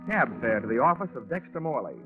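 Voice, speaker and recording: An actor playing a tough, wisecracking American insurance investigator with a wry, deadpan, hard-boiled delivery in 1940s radio style. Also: male voice, medium pitch, fast talker, faint music behind, natural voice. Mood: hard-boiled, confident. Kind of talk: 1940s radio detective acting and narration. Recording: tinny band-limited 1940s radio recording.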